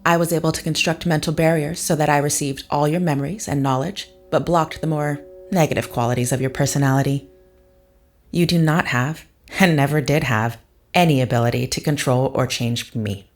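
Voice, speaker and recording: A woman playing a cold, unflinching character with a superior mind, speaking in deliberate, formal phrases in an audio drama. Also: deep voice, faint music behind. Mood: cold contempt. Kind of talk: scripted audio drama acting.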